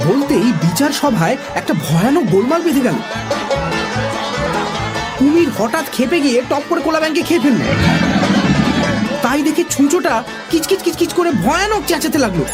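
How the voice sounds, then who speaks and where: A woman's voice: sits high, lively intonation, normal speed, long pauses, loud music behind the voice. A woman narrating a scripted comic story for children as the storyteller.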